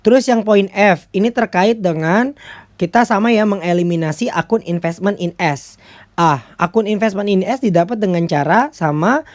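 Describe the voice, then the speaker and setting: A woman's voice: mid-range voice, normal speed. A woman with an Indonesian accent giving an explanatory lecture step by step, in a conversational manner with frequent little check-in tags. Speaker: accounting lecturer.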